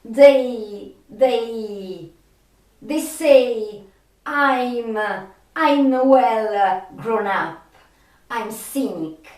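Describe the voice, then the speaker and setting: Very slow-talking woman. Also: medium pitch, a little mellow. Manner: halting, with long pauses and frequent hesitations. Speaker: video host. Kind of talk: informal explanatory commentary, retelling film dialogue. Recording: echoey room, distant microphone.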